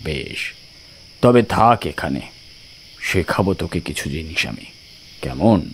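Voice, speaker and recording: An actor playing a brooding, gruff tantric holy man in an audio story, speaking slowly and condescendingly, as if to a youngster. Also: very deep voice, big pitch swings, a little hiss or hum, full character voice. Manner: slow, condescending, addresses listener as a youngster.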